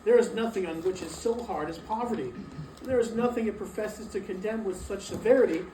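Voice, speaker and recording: A woman playing a troubled, defensive male miser in a dramatic reading, with earnest, halting delivery. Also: medium pitch, dark tone, natural voice. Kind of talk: dramatic reading of a Victorian story. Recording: roomy, distant mic, faint noise.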